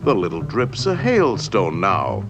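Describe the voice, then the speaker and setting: A man narrating an animated science film in a scripted, theatrical storytelling style. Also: deep voice, a little slow. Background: music.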